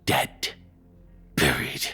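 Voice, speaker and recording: A man playing a cruel, controlling ex-boyfriend in an intimate audio roleplay, taunting and belittling slowly in a breathy, husky voice that drops toward whispers. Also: bright, forceful tone. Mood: cold contempt. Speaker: voice actor.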